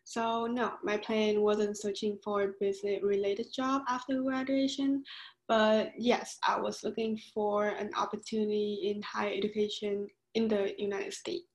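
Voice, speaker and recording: A woman's voice: medium pitch, level tone, normal speed. Young Vietnamese woman in an echoey room. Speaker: graduate student guest.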